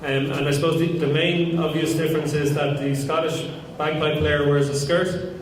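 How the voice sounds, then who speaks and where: A young Irish man giving an informal lecture, relaxed and lightly humorous, with frequent ums and restarts. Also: fairly high pitch, moderate pace, background noise. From Ireland. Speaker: lecturer and pipe maker. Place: large lecture hall with audience. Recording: very echoey hall, mic far off.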